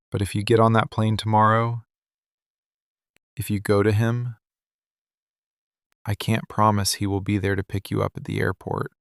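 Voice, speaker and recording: A man acts out a dangerous mafia boss in love, possessive and intense, in an intimate audio roleplay. His voice is gravelly and stays in a narrow, controlled range. He speaks in slow, deliberate statements, right up on the microphone. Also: lowish voice, no room sound, clean studio sound. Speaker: voice actor. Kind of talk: boyfriend ASMR roleplay, intimate dramatic monologue. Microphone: close studio mic, dry.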